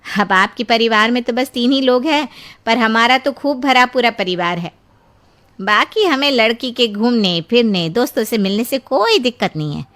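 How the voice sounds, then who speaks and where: A woman voicing a brisk, matter-of-fact, bossy in-law from a big household in a Hindi cartoon story. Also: fairly high pitch, expressive, a bit bright.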